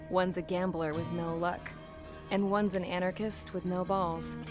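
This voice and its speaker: A hard-bitten woman prisoner as an actress plays her tells her story in a video game. Her voice sits on the low side, and her delivery is blunt, cynical and wry. Loud music plays behind her. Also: natural voice. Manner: blunt, sardonic, slangy. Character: cynical, foul-mouthed female prisoner mechanic, tough and wry. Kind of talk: scripted first-person cutscene narration. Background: loud game soundtrack music.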